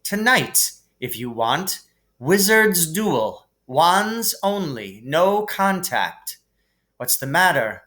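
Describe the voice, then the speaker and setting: A man's voice: fairly high pitch, big pitch swings, takes it slowly, pushed and bright, voice pushed up, exaggerated voice. A man voicing an arrogant schoolboy bully with a taunting drawl in a storybook reading.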